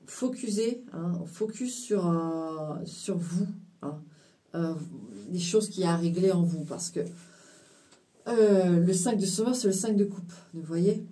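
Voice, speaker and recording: Halting French woman reading tarot cards. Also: lowish voice, mellow, far from the mic. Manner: halting, full of fillers and restarts, mixes in English phrases. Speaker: tarot card reader. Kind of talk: casual spiritual card reading to viewers.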